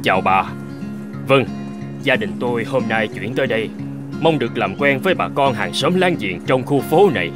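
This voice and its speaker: An actor playing an anxious, kindly middle-aged homeowner in a cartoon ghost story, given to dramatic talking to himself. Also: male voice, medium pitch, moderate pace, music in the background, lightly acted. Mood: polite, calm. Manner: expressive, dramatic, muttering to himself. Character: anxious, kindly older male homeowner, newly moved in.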